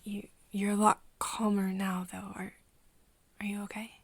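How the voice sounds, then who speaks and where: ASMR roleplay lead: woman playing caring young female friend, breathy, hesitant, unhurried, very close dry sound. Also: medium pitch, monotone, no room sound. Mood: tender, hesitant. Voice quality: soft, breathy. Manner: hesitant, stammering, tender. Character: caring, flustered young woman soothing her vampire friend.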